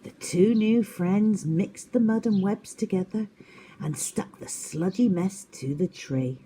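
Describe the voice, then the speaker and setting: A woman's voice: mid-range voice, takes it slowly, dark tone, husky. A woman narrating a children's story expressively, as a teacher does at story time.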